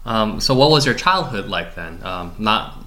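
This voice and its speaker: A man's voice: lowish voice, expressive, unhurried, pushed and bright. A young man, a graduate student, conducting an oral history interview with polite, formal questions.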